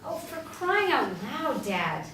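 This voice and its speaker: A woman's voice: sits high, sing-song, takes it slowly, full character voice. A woman playing an exasperated, dryly sarcastic daughter in her thirties in a staged comedy play reading. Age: 30s. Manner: dry, sarcastic. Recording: very echoey, distant stage recording with noise.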